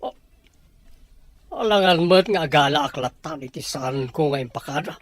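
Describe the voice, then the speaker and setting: A man's voice: on the high side, lively intonation, normal speed, dry recording. A man as indignant Filipino master of the house. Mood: doubtful, troubled. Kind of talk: Ilocano audio drama acting.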